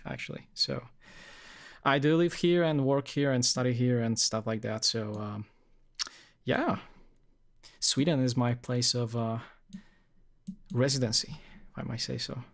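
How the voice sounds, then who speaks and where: Young male streamer from Poland, easygoing rambling chat, full of fillers, mid-range voice. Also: mid-range voice. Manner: rambling, many fillers and restarts. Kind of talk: casual live-stream chat.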